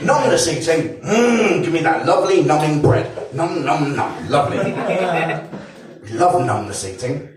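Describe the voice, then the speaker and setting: Man in his thirties, lively swooping delivery, stand-up set, echoey club recorded from a distance, laughing crowd. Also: on the high side, unhurried. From Devon, England. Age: 30s. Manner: self-mocking, animated, swearing, stammering restarts. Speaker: stand-up comedian. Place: comedy club stage with live audience.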